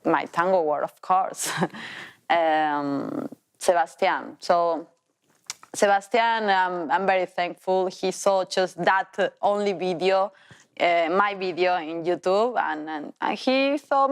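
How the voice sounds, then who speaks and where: A woman in her thirties with an Argentine accent, a Spanish speaker telling her story as a tango teacher in halting English with many restarts. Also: mid-range voice, clean tone. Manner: non-native English, halting restarts, laughs while telling. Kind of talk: personal storytelling.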